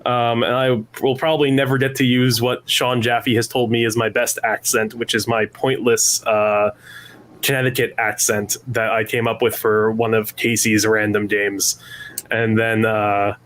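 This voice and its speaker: A man's voice: fairly low pitch, flat delivery, a little slow, fairly bright tone. A man from a tabletop podcast cast answering fan questions in casual chat. He rambles and thinks aloud, with many fillers, over a video call. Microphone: remote mic over a video call.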